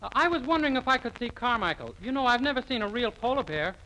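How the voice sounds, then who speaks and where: A man's voice: very high voice, big pitch swings, fast, bright, forceful tone, full character voice, rough recording. An actor playing a timid, naive and polite young man in 1940s radio comedy. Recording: hissy old radio recording.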